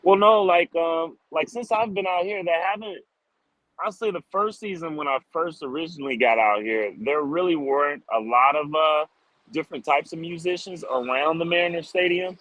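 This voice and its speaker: A man's voice: fairly high pitch, moderate pace, a bit bright, no room sound, rough recording. A man answering interview questions casually as a street musician, upbeat and informal, often dropping in "like" and "man". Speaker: street drummer guest.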